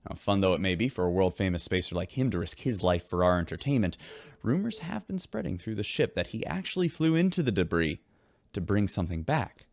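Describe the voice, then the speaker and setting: A man's voice: sits low, sing-song, a little fast, vocal fry, darkish tone, no echo, exaggerated voice. An actor playing a wry, showy male radio host aboard a spaceship in a sci-fi audio drama. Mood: playfully gossipy.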